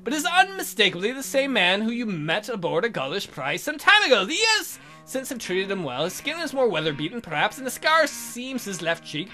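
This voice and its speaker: Guy reading a text adventure out loud, bouncy lively voice, cracks crude jokes, faint guitar music behind. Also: high voice, a little fast, hardly any pauses. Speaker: let's play host. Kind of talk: reading a text game aloud with asides.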